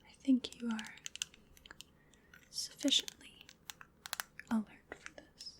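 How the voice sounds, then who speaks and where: A woman playing an ancient vampire in an ASMR roleplay, whispering breathily in a slow, genteel, soothing manner with quiet menace. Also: on the high side, fairly even intonation. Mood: coaxing, quietly menacing. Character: ancient female vampire, sinister yet coaxing and polite.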